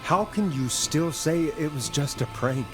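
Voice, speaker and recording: Measured, probing male radio host. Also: a little high, animated, over music. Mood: pressing, confronting. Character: calm late-night radio host, probing and stalling a killer. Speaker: radio talk show host. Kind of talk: scripted game voice acting.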